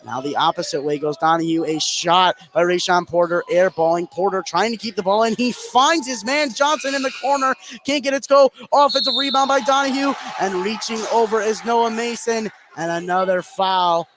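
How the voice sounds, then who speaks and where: Higher-voiced man calling basketball over clear noise. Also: moderate pace, hardly any pauses. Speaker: play-by-play sports announcer. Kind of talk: live basketball play-by-play commentary. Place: indoor high school basketball game.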